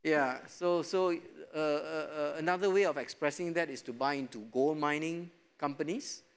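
A middle-aged man answering as an investment panelist in a studio discussion, relaxed and chuckling, with lots of "you know" fillers, on a lapel mic. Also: on the high side, moderate pace, smooth. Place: studio stage set.

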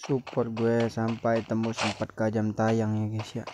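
A young man from Indonesia, hoarse from a cough, chatting casually to viewers while commenting on a game replay. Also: fairly low pitch, completely flat delivery, unhurried, very noisy. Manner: slangy, chatty, keeps addressing viewers. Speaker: gaming YouTuber.

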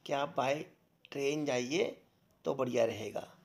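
A man's voice: mid-range voice, unhurried, background noise. A man giving a casual, enthusiastic voice-over to viewers about a train trip. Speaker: travel vlogger. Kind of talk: voice-over narration.

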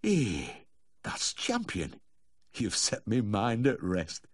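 High, wailing little Yorkshireman. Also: huge pitch swings, moderate pace, dry recording, clean studio sound. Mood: relieved, shaky. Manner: wailing, quavering, gasping. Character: tearful, fretful little Yorkshireman, doting dog owner, tipsy. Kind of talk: audiobook character voice.

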